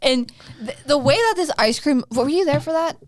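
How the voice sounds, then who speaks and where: Female co-host, giggly and teasing, a touch high, dry studio sound. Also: lively intonation, no echo. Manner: playful, quick to laugh, teasing. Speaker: podcast co-host. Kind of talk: casual chat.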